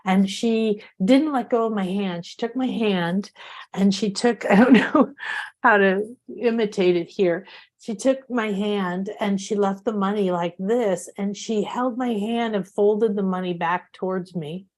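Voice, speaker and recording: A woman host, middle-aged, chatty and animated, tells stories and laughs a lot. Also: mid-range voice, measured pace. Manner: animated storyteller, laughs often. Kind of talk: casual spiritual chat show.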